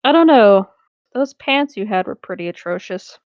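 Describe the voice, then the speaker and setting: A woman's voice: medium pitch, animated, normal speed, no room sound, muffled recording. A woman with a mid-range voice co-hosts a podcast. She reads and banters casually, and the recording is dry, with no room sound. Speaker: podcast co-host and reader. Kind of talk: casual banter and reading a story aloud.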